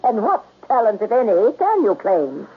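An actress playing a grand, mocking, wealthy old aunt with a husky voice in a 1970s radio drama. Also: female voice, a little high, wildly swooping pitch, normal speed, a little hiss or hum. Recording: tinny phone-like 1970s radio broadcast.

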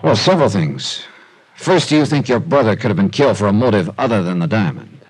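An actor playing a tough, wry investigator, talking and narrating in 1950s radio detective style. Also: male voice, fairly low pitch, very animated, brisk, husky, low-quality recording. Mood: wry, probing. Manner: hard-boiled, wry, conversational. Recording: old 1950s radio recording.

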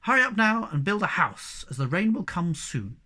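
Woman doing a serious storybook troll dad, grave n decisive, mid pitch. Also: sing-song, pushed and bright. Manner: grave, measured. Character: serious, decisive father troll, Moomin Papa.

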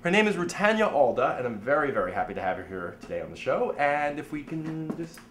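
A man's voice: on the high side, sing-song, quick pace, fairly bright tone, a little hiss or hum. A man in his forties hosting a show and casually interviewing a guest. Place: small indoor poster-filled room, cooking show set.

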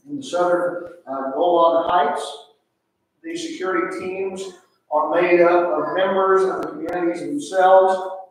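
A man in his thirties reads a letter aloud from a church pulpit, stumbling often with filler uhs and restarts. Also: fairly high pitch. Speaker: pastor reading a missionary letter. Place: large church sanctuary. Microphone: pulpit mic, filmed from far back. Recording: echoey sanctuary from far off.

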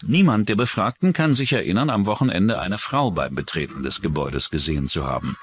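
A man's voice: low-pitched, expressive, fairly quick, music in the background. A man reading scripted true-crime documentary narration.

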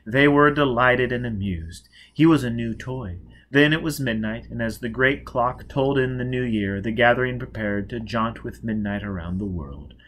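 A man in his thirties reading a novel aloud as an expressive narrator. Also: mid-range voice, normal speed, hardly any pauses. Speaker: audiobook reader. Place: small indoor space with a dark curtain backdrop.

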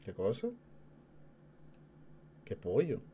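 A man's voice: lowish voice, sing-song, very slow, mellow, husky. A man streaming casual live commentary as he reacts to a video.

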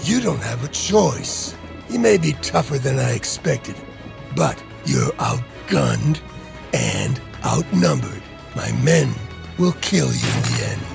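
An actor playing a menacing corporate boss in a video game, issuing commands and threats in a halting delivery. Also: male voice, medium pitch, wildly swooping pitch, vocal fry, over music, full character voice. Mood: cold, menacing. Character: menacing male corporate boss demanding surrender.

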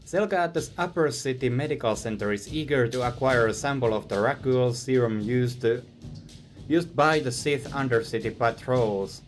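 A man's voice: medium pitch, moderate pace, soft background music. A young man from Finland with a Finnish accent, musing casually while playing a game for his stream viewers. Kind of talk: casual gameplay commentary. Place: small room indoors.

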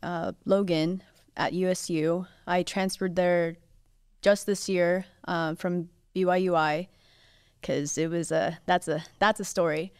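A young woman from Maryland, a guest on a podcast, speaking softly with hesitant fillers into a studio mic. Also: on the low side, steady tone, a little slow, clear, resonant tone, dry recording. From Maryland, USA. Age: young adult. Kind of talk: casual interview. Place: small studio, indoors. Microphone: studio mic on boom arm.

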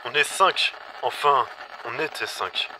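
A man's voice: medium pitch, a little slow, bright, forceful tone. A man playing an irritable, wary survivor in an audio drama, brusque and sweary over a walkie-talkie. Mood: suspicious. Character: gruff, irritable, wary male survivor on a radio. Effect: walkie-talkie radio. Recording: noisy, crackly walkie-talkie transmission.

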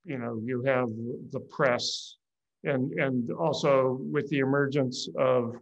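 A middle-aged man talks slowly and unhurriedly on a video call, in a voice of ordinary middle pitch. He pauses often, fills gaps with "um" and "uh" and restarts his sentences. He speaks as an academic panelist from a small room at home. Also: fairly even intonation, gravelly, lo-fi sound. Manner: slow, halting, many fillers and restarts.

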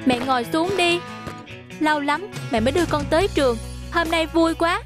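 A woman's voice: very high voice, moderate pace, over music. A woman voicing a loving, sensitive little schoolboy in a cartoon, earnest and childlike. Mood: eager, caring. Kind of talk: animated fairy-tale dubbing.

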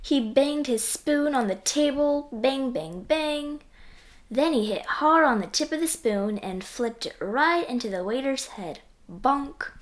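A woman with a high voice reads a children's storybook aloud. She goes slowly, swinging widely in pitch and playing up every sound word in an animated way. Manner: animated, acting out sound effects. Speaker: reader of children's books. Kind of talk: expressive storybook read-aloud.

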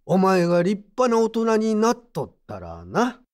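A woman playing a gruff but kindly old craftsman with curt old-man speech in an audio drama. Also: medium pitch, huge pitch swings, unhurried, darkish tone. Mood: fatherly. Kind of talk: Japanese audio drama acting. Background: background music.

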